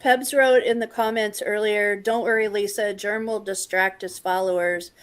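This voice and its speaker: A woman's voice: medium pitch, steady tone, moderate pace. Woman greeting viewers on a livestream. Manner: greets viewers by name between remarks. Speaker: co-host reading viewer comments. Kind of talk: casual livestream chat.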